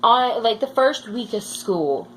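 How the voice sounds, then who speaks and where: A woman's voice: fairly high pitch, lively intonation, slow pace, rough recording. A young woman rambling through casual stories with a playfully dramatic streak. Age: teen or young adult. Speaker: vlogger telling school stories. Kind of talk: casual storytime chat. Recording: faint background noise.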